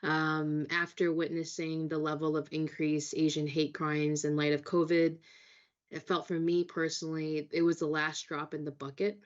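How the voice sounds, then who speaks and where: A woman's voice: low-pitched, level tone, moderate pace, lo-fi sound. A woman from Brooklyn, New York, speaking as a panelist in a friendly way with frequent ums. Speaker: brand director, panelist. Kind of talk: panel discussion.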